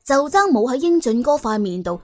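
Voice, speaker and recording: A woman with a Cantonese accent narrating a comic audiobook in a colloquial, wry and animated way. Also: high-pitched, normal speed, faint music behind.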